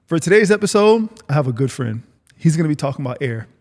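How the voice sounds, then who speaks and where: Gravelly-voiced male podcast host. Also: on the high side, sing-song, fast, a little mellow. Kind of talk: casual conversational interview.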